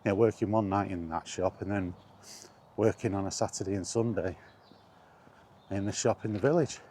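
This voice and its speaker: A man's voice: lowish voice, moderate pace, mellow, slight background noise. A middle-aged British man casually rambling through personal memories, as in an informal vlog. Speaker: vlogger.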